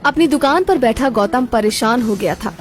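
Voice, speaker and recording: A woman's voice: a little high, expressive, moderate pace. A woman telling a scripted cartoon story as its narrator. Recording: background music throughout.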